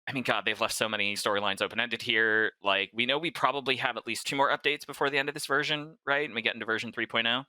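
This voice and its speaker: Very bright-voiced man co-hosting a podcast. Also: medium pitch, quick pace, hardly any pauses, no echo. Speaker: podcast co-host. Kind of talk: casual recap and chat.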